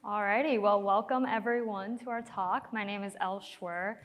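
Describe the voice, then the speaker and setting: A woman's voice: mid-range voice, fairly even intonation, normal speed, heavy background noise. A woman giving a conference talk as a product manager, upbeat and a little nervous about her first time on stage. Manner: upbeat, slightly nervous presenter. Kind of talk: conference presentation. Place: large conference hall. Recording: long hall reverb.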